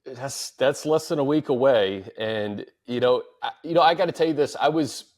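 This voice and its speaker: A man's voice: mid-range voice, normal speed, a little mellow. A man hosting a sports podcast, chatting in a casual, informal and upbeat way. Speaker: podcast host.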